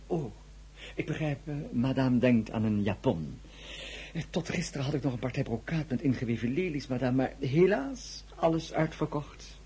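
An actor in a radio drama playing a middle-aged, deferential shop clerk, chatty and hesitant, with flustered stammers. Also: male voice, medium pitch, lively intonation, moderate pace, mellow, husky. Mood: obliging, salesmanlike.

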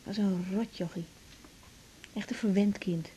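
A woman's voice: mid-range voice, steady tone, moderate pace, warm tone, lightly acted, poor audio. An actress playing a troubled young woman doctor, anxious yet affectionate, in an intimate, naturalistic 1980s radio drama. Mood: confiding, wry. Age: young adult. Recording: 1980s radio drama, faint background noise.

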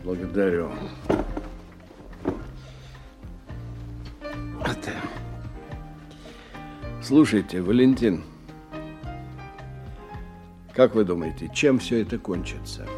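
A man's voice: deep voice, lively intonation, unhurried, a little mellow. An actor playing a polite, anxious businessman who talks in probing questions, in a radio drama. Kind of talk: radio play dialogue. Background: music.

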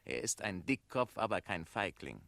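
An ambitious mayor's son in a 1960s German radio play. He is cold and sure of himself, speaking in a lowish, sharp voice with crisp authority, recorded close and dry. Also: sing-song, moderate pace, no echo, heavily acted. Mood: boastful, commanding. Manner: crisp, commanding, self-assured.

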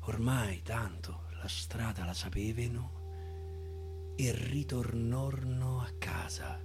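A man with a Roman accent reciting dialect verse theatrically, swinging from loud declaiming to a hushed whisper. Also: medium pitch, steady tone, takes it slowly. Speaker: actor reciting dialect poetry. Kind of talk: theatrical poetry recitation for radio. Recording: live guitar accompaniment.

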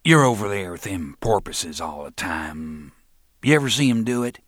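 A man's voice: deep voice, sing-song, normal speed, dry recording, studio quality. A man reading aloud, voicing a crude, menacing male thug who sneers and taunts. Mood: mocking. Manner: crude, sneering, swings from mild to shouting.

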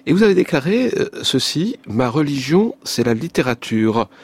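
A man hosting a radio interview, introducing excerpts and calmly reading quotations back to his guest. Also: on the high side, normal speed, husky. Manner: composed, reads quotations to the guest.

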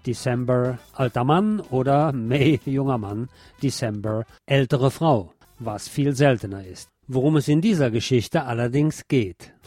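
A man's voice: mid-range voice, darkish tone, no room sound. A man narrating a film review as the host.

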